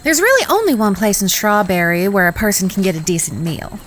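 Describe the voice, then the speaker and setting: A woman's voice: mid-range voice, big pitch swings, brisk, fairly bright tone, a little hiss or hum. A woman playing a self-assured, folksy young frontier woman with genteel, emphatic phrasing in a western audio drama. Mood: assured, reassuring.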